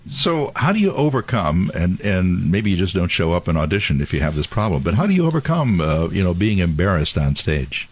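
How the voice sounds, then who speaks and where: Radio host, deep and husky, halting delivery with lively swooping pitch. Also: male voice. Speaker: radio interviewer. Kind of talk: casual radio interview.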